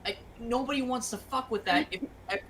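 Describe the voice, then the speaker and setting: A woman's voice: a little high, fairly quick. A woman explaining things in casual group chat, blunt and matter-of-fact, with emphatic swearing. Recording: noisy home microphone.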